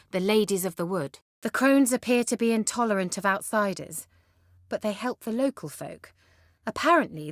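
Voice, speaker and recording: Woman acting a poised, expressive young sorceress. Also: mid-range voice, dry recording, clean studio sound, lightly acted. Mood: earnest, measured. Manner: poised, articulate, teasing, theatrical delivery. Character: self-assured sorceress, coy, worldly and haughty. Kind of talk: video game character acting.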